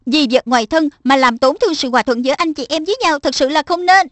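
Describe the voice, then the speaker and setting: Woman as a high-pitched preachy little magic creature. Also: fast, no echo, natural voice. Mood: instructive. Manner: admonishing, instructive. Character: small magical lion-like pet spirit, preachy older-brother mentor. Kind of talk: cartoon dub.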